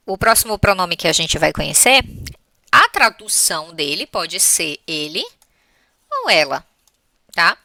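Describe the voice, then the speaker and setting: A Brazilian woman gives a grammar lesson in a conversational, didactic manner. Her voice is bright and forward with a creaky edge. She lays out each point step by step and checks for understanding, in a dry recording with very little room sound. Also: mid-range voice, no echo. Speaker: English teacher.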